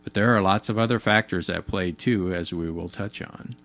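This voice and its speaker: A man's voice: sits low, moderate pace, a bit bright. A man reading scripted instructional narration in a plain, methodical explaining manner. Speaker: explainer video narrator for a radio retailer.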